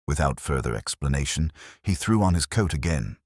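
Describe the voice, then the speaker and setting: A man narrating a scripted mystery story in a measured, dramatic manner. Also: rumbling low, very animated, no echo, studio quality. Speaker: audiobook narrator.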